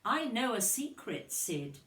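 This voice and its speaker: A woman voicing a gentle father dog in a storybook, tender and confiding in manner. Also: on the high side, big pitch swings, slow, full character voice. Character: gentle adult male dog father, secretive, encouraging. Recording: roomy, distant microphone.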